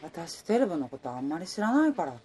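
A woman acting a low-voiced, level-headed romance heroine. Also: sing-song, fast, mellow, a little hiss or hum. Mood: indifferent. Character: level-headed 33-year-old woman in fashion, narrating her romance. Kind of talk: Japanese radio drama acting and first-person narration.

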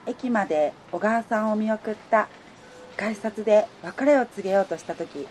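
A woman's voice: medium pitch, normal speed, darkish tone, soft background music, close to an everyday voice. A woman playing a romantic, easily flustered working woman, in radio drama dialogue and first-person narration.

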